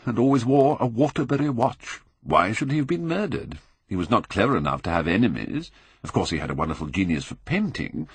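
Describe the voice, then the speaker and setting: Man as a drawling, deep-voiced British aristocrat. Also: husky, no echo, heavily acted. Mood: dismissive. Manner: drawling, epigrammatic, blasé. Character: languid, witty, cynical Victorian aristocrat, older gentleman.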